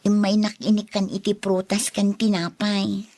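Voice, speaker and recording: A woman's voice: medium pitch, flat delivery, normal speed, darkish tone, no room sound, close to an everyday voice. A woman playing a blunt, exasperated young daughter in a radio drama, with a curt manner and an Ilocano accent. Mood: evasive, irritated.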